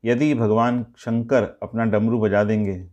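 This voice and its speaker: Male storyteller, lowish pitch, measured phrasing for scripted moral tales, slightly dull recording. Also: flat delivery, warm tone. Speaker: storyteller and channel narrator.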